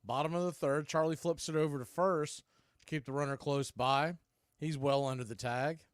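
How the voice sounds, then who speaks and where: An American man doing live baseball play-by-play in clipped, punchy calls with pauses, mixed with casual fan chat. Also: fairly high pitch, fairly even intonation, smooth, no room sound, clean studio sound.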